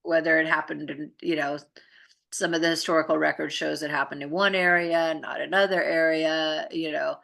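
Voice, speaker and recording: A woman speaks in a low register with plenty of gravelly fry and a bright, forward edge. She chats casually and reflectively, rambling through her thoughts in trailing phrases. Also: steady tone, moderate pace. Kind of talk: casual conversational chat.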